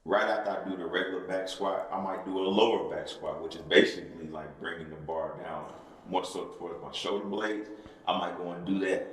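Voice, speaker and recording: Deep-voiced man in a very echoey room. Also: level tone, a little fast. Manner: rambling, repetitive, motivational. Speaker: personal trainer and podcast co-host. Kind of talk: casual podcast chat. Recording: very echoey room with long reverb.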